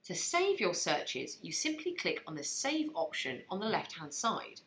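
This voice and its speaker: A woman narrating a scripted software training tutorial in an instructional manner, explaining things step by step. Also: fairly low pitch, big pitch swings, unhurried.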